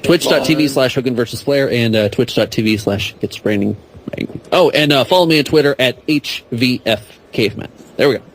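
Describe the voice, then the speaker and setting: A man's voice: medium pitch, a little fast, a little hiss or hum, low-quality recording. A man speaking as a podcast guest in a casual, comedic, rambling way. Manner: rambling, jokey.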